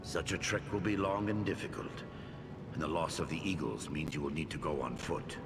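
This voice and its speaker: An actor playing a weary, proud old dwarf lord, speaking in a grave, formal manner in a fantasy game cutscene. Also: male voice, extremely low pitch, lively intonation, fairly quick, noisy background. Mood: grave, stern. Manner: solemn, formal. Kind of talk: dramatic game cutscene acting. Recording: long hall reverb under loud music.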